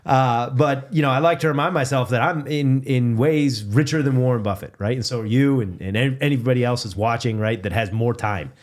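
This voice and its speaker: A man's voice: medium pitch, a little fast, few pauses. Chatty self-deprecating man in his forties. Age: 40s. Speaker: podcast guest. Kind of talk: casual chat. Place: dim studio, indoors. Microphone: desk mic.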